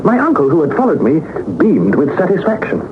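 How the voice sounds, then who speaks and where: An actor playing an earnest young British adventurer who tells of a perilous journey in a dramatic, literary 1960s radio drama style. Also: male voice, on the high side, huge pitch swings, brisk. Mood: astonished. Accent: British English. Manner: dramatic, literary storytelling with rhetorical flourishes. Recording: muffled, noisy 1960s radio recording.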